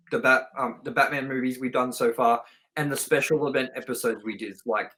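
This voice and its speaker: A man's voice: medium pitch, a bit bright, rough recording. A man co-hosting a movie review show in casual, unscripted chat, talking informally and straight to the audience. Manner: informal, chatty, addresses viewers directly.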